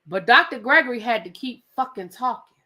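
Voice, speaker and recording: A woman's voice: a little high, fairly quick. A woman hosting a live TV recap, telling the story casually and acting out the quotes, with some swearing. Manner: animated storytelling, acts out quotes; profane, conversational. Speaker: TV show reviewer.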